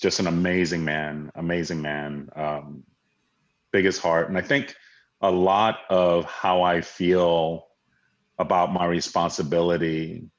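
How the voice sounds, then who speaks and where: A man from Hawaii, a guest in an interview, talking in an earnest, reflective way with many fillers and restarts. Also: low voice. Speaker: guest, diversity leader. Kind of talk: conversational interview. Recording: dull lo-fi audio.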